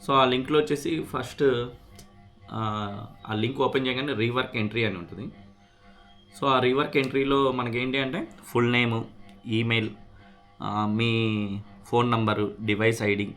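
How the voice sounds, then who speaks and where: A man's voice: mid-range voice, steady tone, a little slow, long pauses, a bit bright, soft background music. A man talking casually as a vlogger, explaining things and mixing in English words. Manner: mixes English words into his speech. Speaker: vlogger sharing a product repair experience. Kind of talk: casual explanatory vlog with unboxing.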